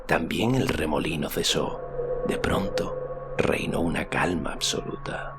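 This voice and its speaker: Male audiobook narrator: deep, gravelly, dramatic fantasy storytelling with prominent music underneath. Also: measured pace. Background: loud music.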